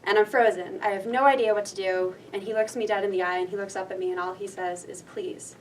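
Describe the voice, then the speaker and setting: A young woman from Rhode Island tells an earnest, steady personal story from a stage. Her voice sits at a middling pitch and comes from some distance away, with a faint hiss under it. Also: fast. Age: young adult. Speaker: student storyteller. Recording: distant mic with faint noise.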